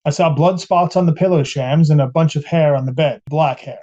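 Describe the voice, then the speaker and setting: A man's voice: a little high, fairly quick, mellow, lo-fi sound, natural voice. A man playing a plainspoken, deferential 1890s police captain, giving matter-of-fact testimony in a dramatized trial reading. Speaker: voice actor reading a trial transcript.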